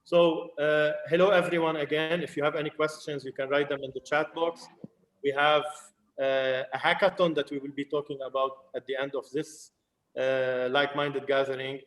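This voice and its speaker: A man's voice: a little high, level tone, normal speed, a bit bright, roomy sound, poor audio. A man with a Lebanese accent hosting an online video call. He switches between languages and uses many fillers. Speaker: host.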